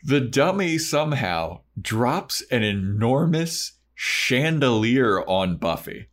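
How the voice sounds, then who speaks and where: A man's voice: mid-range voice, sing-song, takes it slowly. A man co-hosting a podcast, casually recapping a story in an informal, emphatic way. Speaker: podcast co-host.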